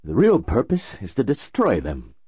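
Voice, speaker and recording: Man putting on a mysterious rich guy, deep husky voice, slow and deliberate, dark tone. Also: huge pitch swings, dry recording, studio quality, full character voice. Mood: cryptic. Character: calm, enigmatic wealthy middle-aged man. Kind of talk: audiobook character voice.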